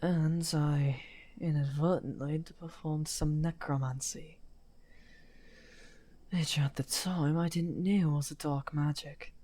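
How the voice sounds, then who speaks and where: Man acting a very slow, husky villainous wizard. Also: on the high side, fairly even intonation, warm tone. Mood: bitter, confiding. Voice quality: breathy, husky, often half-whispered. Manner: unhurried, taunting, drawn-out phrasing. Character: misunderstood outcast necromancer wizard villain, smugly amused. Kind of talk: slow theatrical ASMR roleplay.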